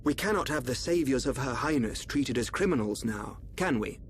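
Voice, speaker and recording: A man playing a calm, enigmatic royal seer in a video game, formal and assured. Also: medium pitch, normal speed, a little hiss or hum, lightly acted. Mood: composed, reassuring. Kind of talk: dramatic video game voice acting.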